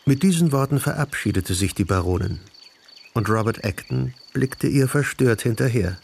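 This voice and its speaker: A man narrating a literary radio drama in a measured storytelling manner, with a creaky voice. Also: mid-range voice, expressive, darkish tone.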